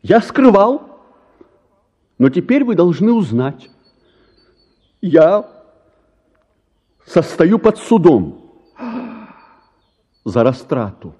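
An actor playing a timid, evasive young suitor in a radio play, stammering and apologetic. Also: male voice, fairly high pitch, big pitch swings, extremely slow, pauses often, mellow, breathy edge, heavily acted. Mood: confessing, evasive. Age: young adult. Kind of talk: theatrical radio performance of a classic Russian story. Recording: dull sound in a reverberant hall.

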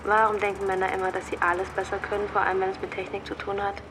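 A woman's voice: mid-range voice, flat delivery, racing, background noise, close to an everyday voice. An actress playing a lonely, teasing young woman who misses her travelling partner, speaking casually and familiarly in a radio drama. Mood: teasing, stressed.

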